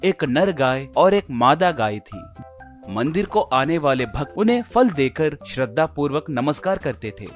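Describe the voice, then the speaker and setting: A man narrating a scripted folk tale as a storyteller. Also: a little high.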